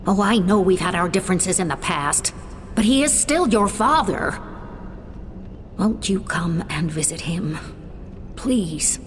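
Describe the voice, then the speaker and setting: An actress playing a sorrowful stepmother voicing her letter in a video game, gently and earnestly pleading. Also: on the low side, animated, measured pace, pauses often, a little hiss or hum. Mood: sad, pleading. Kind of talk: dramatic letter voice-over in a game. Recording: long reverb with music behind.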